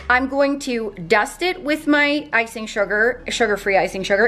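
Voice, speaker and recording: A woman's voice: sits high, fairly bright tone. A woman in her thirties chatting casually through a cooking demo in her home kitchen, bubbly and playful. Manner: bubbly, playful, gasps and laughs often. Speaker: fitness cooking vlogger.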